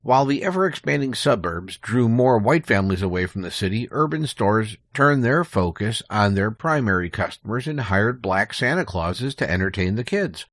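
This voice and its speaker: A man's voice: lowish voice, animated, normal speed, few pauses, fairly bright tone, dry recording, clean studio sound. A man speaking as a podcast guest, giving an explanatory history talk in an interview. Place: small recording booth.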